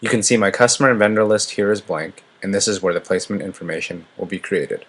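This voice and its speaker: A man presents a scripted software demonstration. His voice sits fairly low and has a noticeably husky, unpolished edge. He narrates as a product walkthrough presenter. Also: steady tone, fast talker.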